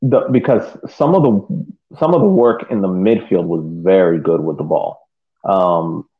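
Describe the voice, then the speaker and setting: Low-voiced man on a tinny phone-like line. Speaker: podcast co-host. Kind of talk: casual match analysis.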